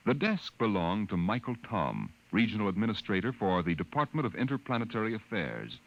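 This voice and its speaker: A man's voice: lowish voice, big pitch swings. A man acting a grave, portentous storyteller, narrating a 1950s science fiction radio drama. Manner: portentous, measured. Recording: very noisy, dull 1950 radio recording.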